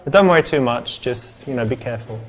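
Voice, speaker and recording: A man playing a friendly, wealthy office boss in live-action game cutscenes, talking in a casual, halting way. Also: medium pitch, animated, soft background music, natural voice. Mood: matter-of-fact. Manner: casual, halting conversational delivery. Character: laid-back, friendly male office boss, wealthy businessman.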